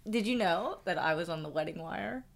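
A woman's voice: on the low side, lively intonation, fairly quick, vocal fry. A middle-aged woman co-hosting a casual podcast, chatty and bubbly, laughing often. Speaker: podcast co-host. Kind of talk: casual chat.

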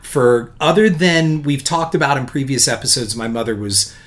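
A man co-hosting a podcast in casual chat, speaking earnestly and slowly, and gently poking fun at himself. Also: medium pitch. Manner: earnest, self-deprecating, slow and deliberate. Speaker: podcast co-host.

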